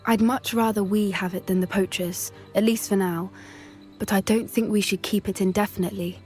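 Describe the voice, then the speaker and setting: A woman's voice: medium pitch, steady tone, brisk, a little mellow, faint music behind, close to an everyday voice. An actress playing an earnest, plucky teenage schoolgirl witch in a video game, speaking articulately with a British accent. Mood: worried, thoughtful. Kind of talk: video game cutscene acting.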